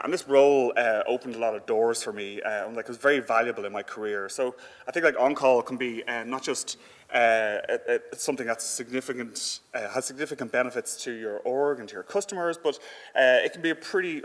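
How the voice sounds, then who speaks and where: A man giving an informal conference presentation in a conversational, halting way with frequent filler words. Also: medium pitch, fairly bright tone. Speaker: conference speaker. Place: indoor conference hall. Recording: echoey hall with long reverb.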